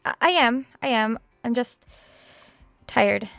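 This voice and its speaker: A woman's voice: fairly high pitch, lively intonation, slow pace, vocal fry, fairly bright tone, no echo, soft background music, exaggerated voice. An actress playing an unsettled woman author alone in a haunted house, hesitant and distracted, in a horror audio drama. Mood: startled, evasive.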